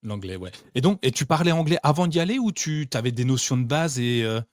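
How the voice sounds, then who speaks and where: A man's voice: mid-range voice, expressive, brisk, a little mellow, no echo, clean studio sound. A man hosting a podcast, asking questions informally with many fillers and restarts. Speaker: podcast host. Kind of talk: casual interview conversation.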